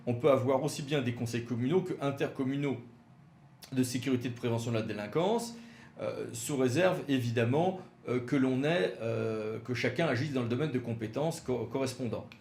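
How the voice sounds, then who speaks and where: A man's voice: medium pitch, a little fast, a little mellow. A man gives an explanatory legal lecture with slides, in a didactic manner with emphatic signposting and frequent hesitations. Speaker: legal expert presenting. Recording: roomy echo with faint background noise.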